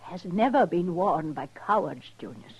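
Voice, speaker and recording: An actress playing a proud, dignified old grandmother who speaks formally and deliberately in an old-time radio drama. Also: on the low side, lively intonation, slightly rough, rough recording. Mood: stern disapproval. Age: older.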